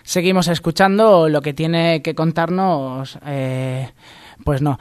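Guy co-hosting a joke news radio show, kinda wry, voice a bit high, dry studio sound. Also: steady tone, takes it slowly, a little mellow, clean tone, no room sound. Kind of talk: comedic news banter.

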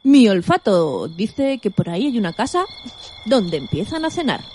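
A woman's voice: medium pitch, big pitch swings, moderate pace, a little mellow, slight background noise, natural voice. A woman acting an easygoing, blunt dog in a radio fairy tale. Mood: alert. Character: easygoing, blunt dog who loves music.

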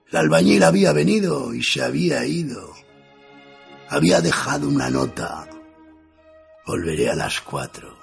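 A man performs a jaded, sardonic writer telling his own story as radio theatre. The voice is very gravelly and husky, delivered slowly and deadpan in short clipped sentences. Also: medium pitch, heavily acted. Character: jaded, sardonic male writer narrating his idle days. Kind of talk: first-person radio theatre narration.

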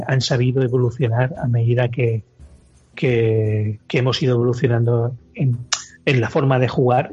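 A husky man discussing games casually on a podcast, explaining in a hesitant way. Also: mid-range voice, warm tone. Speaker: podcast panelist. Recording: muffled podcast audio, music underneath.

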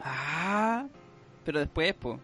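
High-pitched, animated young Chilean man, music behind. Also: takes it slowly, slightly rough. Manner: excitable, slangy, crude. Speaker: co-host of an improvised comedy show. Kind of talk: casual joking banter.

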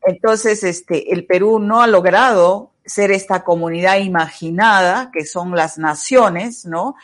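A woman, interviewing in a measured, courteous way. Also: lowish voice.

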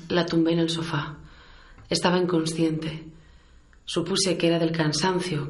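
A man's voice: fairly high pitch, natural voice. A man playing an anguished, tender survivor caring for a loved one, acting and narrating in a radio serial. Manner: tender, distressed. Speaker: actor in an audio drama.

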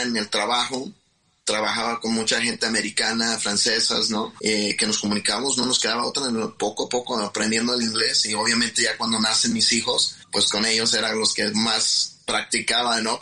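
Bright-voiced Mexican man, candid and rambling. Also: medium pitch, poor audio. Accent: Mexican Spanish. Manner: candid, rambling, frequent tag questions. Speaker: chef and restaurant owner. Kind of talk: interview answers.

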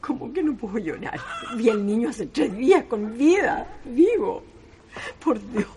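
A woman's voice: on the high side, lively intonation, unhurried, a little mellow. A woman playing a compassionate, distraught city lady in a melodramatic radio play, exclamatory, with a Chilean accent. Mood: indignant disbelief. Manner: emotional, exclamatory. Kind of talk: melodramatic radio drama acting.

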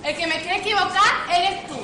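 A woman's voice: very high-pitched, a little fast, pushed and bright. A woman playing a brassy, jealous spurned lover, sarcastic and scolding, in an amateur radio farce. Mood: indignant sarcasm. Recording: echoey room, faint noise.

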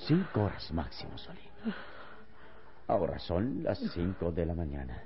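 A calm, commanding male hero of old radio drama. His voice is extremely low and deliberate, with a hypnotic solemnity. Music plays clearly behind the dull recording. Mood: grave, reassuring. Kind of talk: old radio serial acting.

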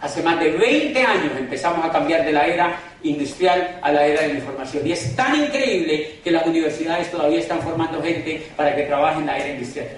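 A man with a rather high voice delivers an emphatic, sermon-like business pep talk to a live audience. He drags out key words and keeps addressing the crowd directly. The recording is distant and booming with room echo, with faint noise underneath. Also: expressive. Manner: dramatic slowdowns and pitch swings for emphasis. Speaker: business motivational speaker. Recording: echoey hall from far off, faint noise.

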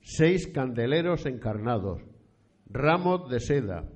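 An actor playing a pious, stately eighteenth-century Spanish landowner in a radio play, speaking in a solemn, formal and courteous old-fashioned way. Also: male voice, medium pitch, big pitch swings, slow pace. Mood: earnest, proud. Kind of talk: scripted historical radio play. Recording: dull, muffled lo-fi recording.

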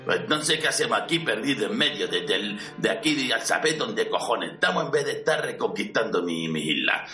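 Man playing boastful comedy conqueror, Spain Spanish accent, deep voice, animated swings, blunt, irritable, incredulous. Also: brisk. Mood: indignant, boastful. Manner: blunt, demanding, incredulous, swaggering. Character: boastful, irritable, foul-mouthed fallen island conqueror. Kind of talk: amateur parody audio drama. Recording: roomy, distant mic, music underneath.

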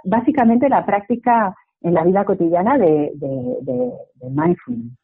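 Husky woman from a Spanish radio interview. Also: mid-range voice, animated, normal speed. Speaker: mindfulness teacher, interview guest. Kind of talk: radio interview, explanatory conversation. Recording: dull lo-fi radio sound.

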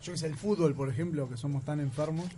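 A man's voice: fairly high pitch, quick pace, dark tone, slight background noise. A man with a Uruguayan accent co-hosting a radio interview, joking and playful. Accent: Uruguayan Spanish. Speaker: radio co-host.

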